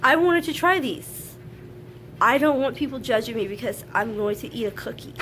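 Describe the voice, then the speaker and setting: A frank, chatty woman with a slightly high voice, nasal and stuffed up from a sinus infection. She talks to camera at home, with a faint hum of household noise behind her. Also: animated, normal speed, a bit bright. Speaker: vlogger. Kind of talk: casual talk to camera. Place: at home, laundry running.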